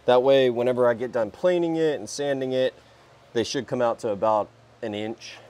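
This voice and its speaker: Relaxed young man at an outdoor sawmill. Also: medium pitch, moderate pace. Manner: relaxed, explains as he works. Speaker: sawmill channel host. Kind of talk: casual workshop vlog. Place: open-sided shed with a sawmill, outdoors.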